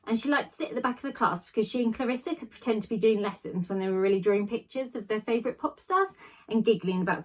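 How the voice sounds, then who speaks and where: Slightly husky woman reading a children's story. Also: a little high, rough recording. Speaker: teacher reading aloud to a class. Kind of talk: expressive read-aloud of a children's novel.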